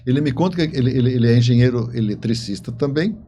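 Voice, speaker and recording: A man's voice: medium pitch, fast talker, mellow, highs cut off, a little hiss or hum. Man telling stories. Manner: explanatory, often repeats words and seeks agreement. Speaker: interview guest, novelist. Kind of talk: conversational storytelling.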